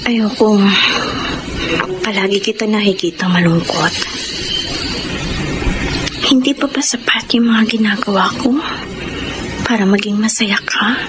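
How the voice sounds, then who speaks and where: A woman's voice: mid-range voice, measured pace, long pauses, very noisy, natural voice. A woman acting a heartbroken, possessive young girlfriend in radio melodrama, with a Filipino accent and an emotional, pleading manner. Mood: sad, resigned. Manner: emotional, dramatic, code-switching pleading. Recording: loud music behind the voice.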